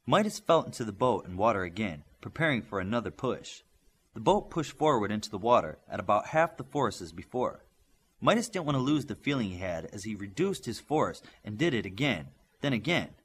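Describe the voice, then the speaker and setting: Expressive male audiobook narrator, slightly husky. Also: on the low side, brisk. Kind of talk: scripted story narration with character voices.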